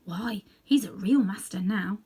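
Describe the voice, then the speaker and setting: A woman voicing a chatty horse in a breathy, confiding whisper for a storybook reading. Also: medium pitch, measured pace, mellow. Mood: amazed.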